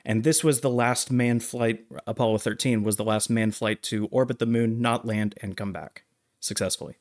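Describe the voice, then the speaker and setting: A man co-hosting a casual film discussion, explaining things in a halting, measured way. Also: fairly low pitch, fairly even intonation. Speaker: podcast co-host.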